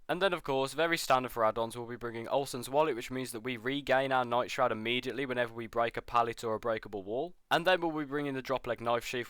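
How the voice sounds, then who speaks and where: A man's voice: medium pitch, a bit bright, smooth, no room sound, clean studio sound. A young man, sounding ill and nasal, narrating a voiceover explaining a game build. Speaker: gaming YouTuber. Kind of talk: voiceover commentary on gameplay.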